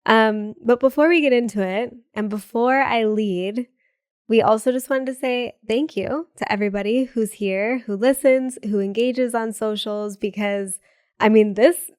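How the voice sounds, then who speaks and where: Bubbly young woman with a smooth voice. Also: on the high side, a little mellow, no room sound, studio quality. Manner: bubbly, laughs often, quick asides. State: sick with a cold. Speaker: podcast co-host. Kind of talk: casual chat.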